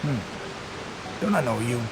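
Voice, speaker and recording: A man's voice: mid-range voice, lively intonation, measured pace, very noisy, close to an everyday voice. A man playing a laid-back, drowsy soldier in a video game, with a languid, drawling manner. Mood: lazy, mellow. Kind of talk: video game character acting.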